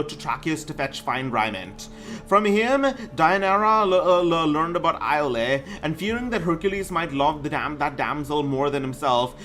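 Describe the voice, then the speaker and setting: A man's voice: a little high, fairly bright tone. A man streaming a game, reading aloud and commenting casually, often stumbling over words and repeating himself.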